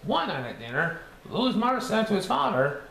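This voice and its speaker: Cheerful cartoon sponge reading a storybook, high, wildly swooping sing-song delivery with stumbles, in an echoey room. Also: male voice, big pitch swings, normal speed, creaky, a bit bright, full character voice. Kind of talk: storybook read-aloud. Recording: echoey room with faint background noise.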